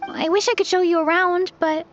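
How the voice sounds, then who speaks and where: A woman voicing an energetic, friendly young tribeswoman in a video game, breezy, casual and playfully dramatic. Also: very high voice, a little fast, dull audio, faint music behind. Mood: upbeat. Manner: breezy, casual, dramatic about small things. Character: energetic athletic young tribeswoman, friendly, food-loving, laid-back yet headlong.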